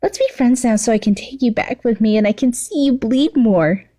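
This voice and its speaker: Woman acting a teenage anime villain girl, high-pitched, excitable, clingy, bloodthirsty, gushing. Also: moderate pace, lightly acted. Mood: eager.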